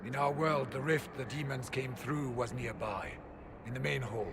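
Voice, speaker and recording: A man's voice: medium pitch, moderate pace, heavy background noise, close to an everyday voice. A man playing a grave, suspicious, devout warrior in a dramatic video game scene. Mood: urgent. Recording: game audio with loud background ambience.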